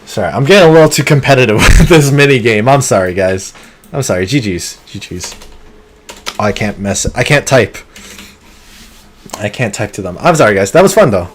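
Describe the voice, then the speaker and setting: A man streaming a game live, talking through it in an excitable, competitive way, with sudden gasps and pleading. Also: medium pitch, normal speed, long pauses, slight background noise. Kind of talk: live gameplay commentary.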